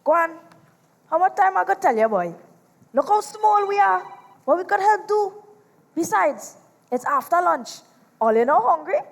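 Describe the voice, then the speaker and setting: Female performer as high-pitched little forest creature, echoing hall. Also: sing-song, normal speed, creaky, pauses often, full character voice. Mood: dismissive. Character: small, patient, easygoing young forest creature. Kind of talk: live stage play. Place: theatre stage in a large venue.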